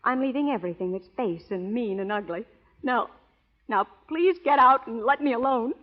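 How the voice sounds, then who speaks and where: An actress playing a strong-willed, proper young frontier schoolteacher in an earnest old radio melodrama style. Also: female voice, fairly high pitch, moderate pace, close to an everyday voice. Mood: bitter, resolute. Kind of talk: 1930s radio melodrama acting. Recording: dull old radio broadcast recording.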